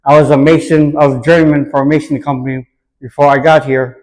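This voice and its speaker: A man with a slightly high voice gives reflective interview answers. He speaks earnestly and deliberately, often echoing a question before he answers it. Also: little pitch movement, darkish tone. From Hawaii, United States.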